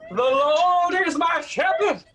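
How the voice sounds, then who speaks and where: An actor playing a stern preacher in a radio drama, reciting scripture in a solemn, declamatory way. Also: male voice, very high voice, lively intonation, slow pace, sharp tone, full character voice. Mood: solemn recitation.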